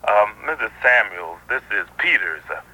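An actor playing a hesitant, stammering building security worker phoning with bad news in a radio spot. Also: male voice, lowish voice, animated, pushed and bright. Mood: uneasy, apologetic. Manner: halting, stammering. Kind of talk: dramatized public service radio spot. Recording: noisy telephone-like radio recording.

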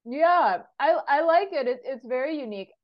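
A woman's voice: sits high, animated, moderate pace. Chatty woman interviewer, lo-fi sound. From United States. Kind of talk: casual conversation.

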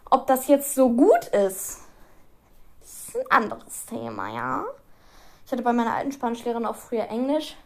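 A woman's voice: on the high side, lively intonation, moderate pace, gravelly, a little mellow, slight background noise. Giggly teenage girl rambling. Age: teens. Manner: chatty, giggly, rambling with many restarts. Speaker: vlogger. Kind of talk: casual chat to camera.

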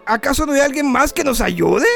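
Whining reindeer, very high and creaky, drawn-out delivery, dramatic, radio play. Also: male voice, animated, fairly bright tone, exaggerated voice. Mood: defensive, doubtful. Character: whiny, panicky, helpless sleigh reindeer. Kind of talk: radio play character acting. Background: music.

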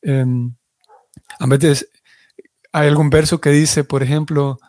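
A man giving a religious class, explaining things in a measured way and often restarting his sentences as he searches for words. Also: fairly high pitch, level tone, a little mellow, no room sound. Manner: explanatory, restarts and self-corrections. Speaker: devotional teacher.

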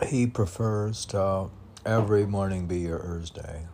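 Slow-paced, low-voiced man reciting poetry. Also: darkish tone, a little hiss or hum. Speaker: poet reading own work. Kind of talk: recited spiritual poetry reading.

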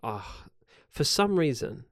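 A man's voice: a little high, big pitch swings, takes it slowly, no room sound, clean studio sound. A man with a New Zealand accent, co-hosting a casual home podcast, rambling and correcting himself as he goes. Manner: rambling, self-correcting, laughs often. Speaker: podcast co-host. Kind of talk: casual chat. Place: home recording setup. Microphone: podcast mic.

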